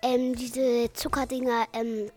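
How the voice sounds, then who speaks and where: Slow, hesitant woman guessing flavours. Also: on the high side, little pitch movement, darkish tone. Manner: hesitant, searching, many fillers. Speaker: taste test participant.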